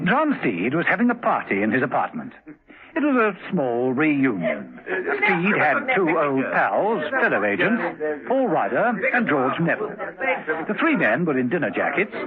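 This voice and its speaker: A man's voice: fairly high pitch, huge pitch swings, moderate pace, a loud crowd. A man narrating a spy thriller dramatically in scripted radio drama, on a thin, phone-like old recording. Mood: dramatic, suspenseful.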